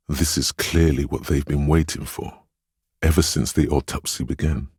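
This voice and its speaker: Game narrator: a man's empathetic inner voice, bass-deep and heavily husky, perceptive, tender, literary and unhurried in phrasing. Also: darkish tone, no echo, clean studio sound, exaggerated voice. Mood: grave, observant.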